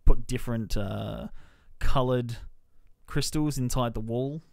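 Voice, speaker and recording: Male podcast reviewer, medium pitch, conversational and measured, dry close recording. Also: gravelly, darkish tone, no echo. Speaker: film reviewer and podcast co-host. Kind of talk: casual film discussion.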